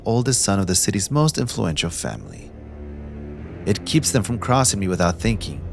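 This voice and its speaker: A man performing a young mafia heir in an audio drama, possessive and manipulative. His low voice swings expressively as he taunts with polished, condescending courtesy. Soft music plays behind him. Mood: smug, calculating. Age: young adult. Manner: condescending, smoothly taunting, theatrically polite. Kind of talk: scripted ASMR audio roleplay addressed to the listener.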